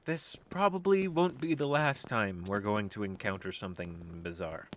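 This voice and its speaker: An actor playing an excitable, self-satisfied member of a biker crew in an audio drama. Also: deep voice, moderate pace, a little hiss or hum, natural voice. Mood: matter-of-fact. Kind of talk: animated audio drama acting.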